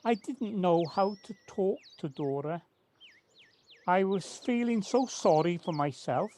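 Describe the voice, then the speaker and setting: A woman acting a remorseful older partner of forty years in an amateur audio drama, halting and confessional. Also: lowish voice, animated, dark tone. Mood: remorseful, anguished. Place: at home, recorded remotely. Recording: faint noise, home personal-device recording.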